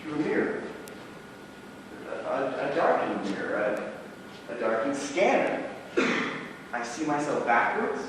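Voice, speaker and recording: An actor as weary, confused drug-addicted narc, echoey stage. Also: male voice, mid-range voice, sing-song, unhurried, pauses often, a bit bright. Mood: puzzled, groping. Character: Fred, weary confused drug-addicted undercover narcotics officer under testing. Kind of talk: live amateur stage play acting. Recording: echoey hall from far off, noisy.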